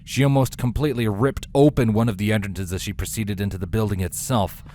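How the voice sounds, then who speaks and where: A man's voice: on the low side, fairly even intonation, fairly quick, dark tone. A man reading scripted fiction aloud as a storyteller, in the style of an audiobook.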